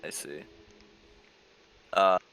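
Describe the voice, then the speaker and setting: A man's voice: extremely slow, bright, forceful tone, low-quality recording. A man chatting casually as a player in a tabletop roleplaying game, hesitant and full of questions.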